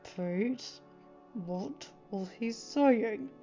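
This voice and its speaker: A woman voicing a protective fatherly man, measured and restrained, in a story reading. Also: a little high, mellow, lo-fi sound, faint music behind. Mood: restrained anger.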